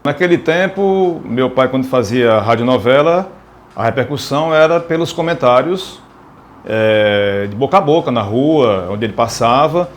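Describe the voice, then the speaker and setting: A man with a Northeastern Brazilian accent reminiscing in an interview, haltingly, often repeating words as he searches for them. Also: mid-range voice, lively intonation. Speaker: radio presenter, interviewee. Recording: noticeable background noise, ambient sound.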